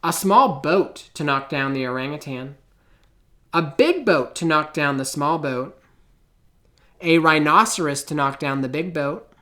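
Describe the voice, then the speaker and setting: Expressive man reading with lively, swooping pitch. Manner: expressive, theatrical storytelling with deliberate pauses. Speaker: picture book reader. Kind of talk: children's storybook read-aloud.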